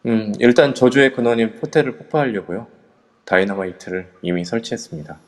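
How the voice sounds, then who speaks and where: A man playing a calm group leader, low voice. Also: fairly quick, in a large hall, lightly acted. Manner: calm, in charge. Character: male kendo instructor leading a ghost-hunting group. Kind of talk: amateur audio drama acting.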